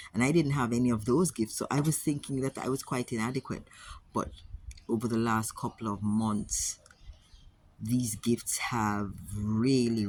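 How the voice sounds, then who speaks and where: A woman with a Jamaican accent, speaking to camera as a wellness coach in a measured, earnest way, often repeating words for emphasis. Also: rumbling low, a little mellow, breathy edge, rough recording. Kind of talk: casual talk to camera.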